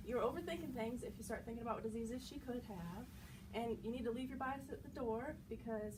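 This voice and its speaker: A woman's voice: a little high, lightly acted. A woman acting an earnest angel nurse in an amateur skit, lecturing firmly as a voice of conscience. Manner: firm, lecturing. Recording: distant microphone, clear background noise.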